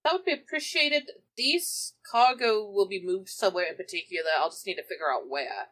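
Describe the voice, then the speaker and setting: A woman's voice: mid-range voice, lively intonation, fairly quick, fairly bright tone, rough recording. A woman playing a tabletop game, casually moving between describing and speaking in character.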